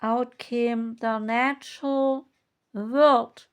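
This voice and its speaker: A woman reading oracle card messages aloud from a guidebook, in a measured manner with long pauses between phrases. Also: a little high, steady tone, smooth.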